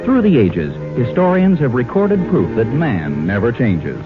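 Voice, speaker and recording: A man's voice: mid-range voice, wildly swooping pitch, measured pace. A man narrating a scripted 1940s radio comedy in a mock-solemn storytelling manner. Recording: tinny old radio recording with music. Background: music.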